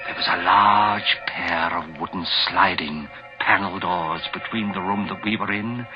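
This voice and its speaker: An actor playing an anxious, guilty murderer in a 1940s radio thriller, with a halting, melodramatic delivery. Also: male voice, sits low. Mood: haunted, dramatic. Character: anxious guilty husband, furtive murderer narrating his story. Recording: muffled 1940s radio broadcast, music behind.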